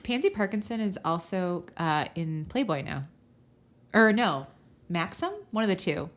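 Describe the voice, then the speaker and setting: A woman's voice: lowish voice, expressive, a little slow. A young woman co-hosting a podcast in casual, joking banter. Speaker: podcast co-host.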